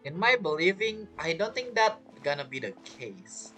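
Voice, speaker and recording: Low-voiced woman giving chatty game commentary. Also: very animated, moderate pace, a bit bright, soft background music. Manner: chatty, musing aloud. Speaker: gaming streamer. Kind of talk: casual game playthrough commentary.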